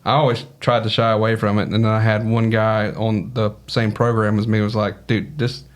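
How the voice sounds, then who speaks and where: A man's voice: fairly low pitch, level tone, moderate pace. A man with a Southern US accent, a podcast guest talking in an easygoing, rambling way. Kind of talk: casual podcast conversation.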